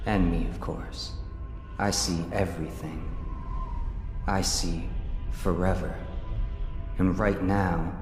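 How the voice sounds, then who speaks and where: A man voicing a cryptic, all-seeing supernatural being in a video game, speaking in a measured, ominous and sardonic way. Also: deep voice, a little mellow. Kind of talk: scripted game monologue. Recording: echoing reverb with faint music.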